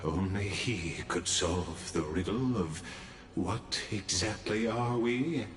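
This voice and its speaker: An actor playing a theatrical, erudite storyteller in a game, speaking in a grand, measured, playful way. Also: male voice, lowish voice. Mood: musing, playful. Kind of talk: scripted game dialogue. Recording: echoing game audio under music.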